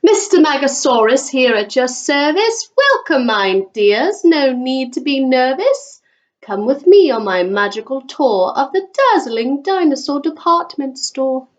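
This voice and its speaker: A flamboyant storybook shopkeeper , acted out by a woman, proud and showy. He welcomes people with theatrical flair, his pitch lifted a little above everyday talk. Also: sing-song, unhurried. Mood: grand welcome. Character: flamboyant showman dinosaur store owner, a man, grand and proud.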